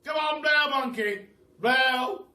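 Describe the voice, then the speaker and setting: An excitable old aristocrat from a children's storybook, acted by a man. He exclaims loudly and high, with forceful delivery. The sound is roomy and set back from the mic. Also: slow, exaggerated voice. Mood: excited, commanding. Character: exuberant old Duke, booming and excitable. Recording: roomy echo, distant mic.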